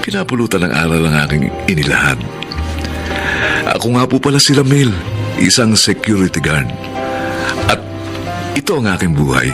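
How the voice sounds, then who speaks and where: A humble, kindly Filipino security guard in a radio drama. His voice sits low and swings expressively, deferential and prone to stammer, with music underneath. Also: very animated, moderate pace, long pauses. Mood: reflective narration. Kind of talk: Tagalog radio drama acting.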